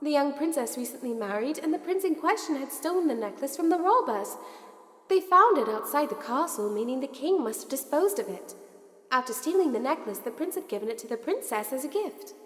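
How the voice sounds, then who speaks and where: A woman's voice: high-pitched, expressive, brisk. A woman playing a brave, devoted young girl heroine in an audio play, with an earnest, emphatic delivery. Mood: polite. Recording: echoey reverb, music underneath.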